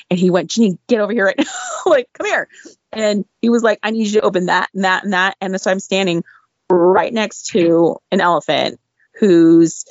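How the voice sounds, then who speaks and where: Lively woman telling stories, dull dry audio. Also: on the low side, dry recording. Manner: energetic, laughs often, jumps into exclaimed asides. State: losing her voice. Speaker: guest, former veterinary intern. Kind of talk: animated personal storytelling.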